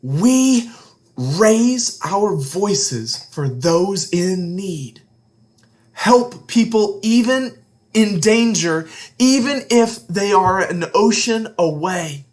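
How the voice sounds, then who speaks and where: A man reading a children's story aloud as a read-along host, earnest and deliberate, with dramatic emphasis and hushed phrase endings. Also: sits high, lively intonation.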